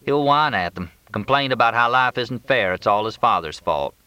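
A man's voice: mid-range voice, animated, normal speed, bright, forceful tone, clear, resonant tone, dry recording, lo-fi sound, voice pushed up. A man voicing a wry, complaining young working man with folksy, slangy talk in an audiobook. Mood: wry, scornful.

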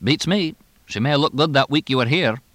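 A man voicing a fast-talking English horse trainer in an audiobook, drily blunt, chatty and deadpan in a clipped way. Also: mid-range voice, no room sound, pitched above the performer's own voice, natural voice. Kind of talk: audiobook character dialogue.

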